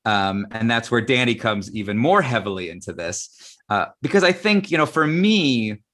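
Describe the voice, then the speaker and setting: Male host chatting casually, bright punchy voice, thoughts come out in short bits. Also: mid-range voice, lively intonation, moderate pace. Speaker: theatre company host. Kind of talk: casual live online discussion.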